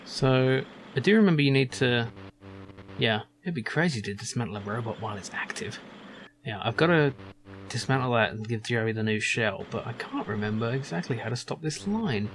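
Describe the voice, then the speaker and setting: A man's voice: medium pitch, expressive, moderate pace. Wry man musing over a game, noisy background. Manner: musing, wry, thinking aloud. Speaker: video game streamer. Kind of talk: casual let's play commentary.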